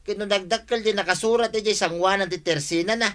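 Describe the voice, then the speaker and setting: A man's voice: high voice, brisk, fairly bright tone. A man playing a sleepy, grumbling, lazy village husband in a comic radio drama. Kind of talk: comedic radio drama acting.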